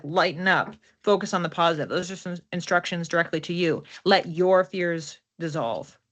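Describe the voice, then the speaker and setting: A woman's voice: medium pitch, fairly bright tone. A woman hosting an oracle card reading in an informal, conversational way, often repeating and listing words for emphasis. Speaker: host, oracle card reader.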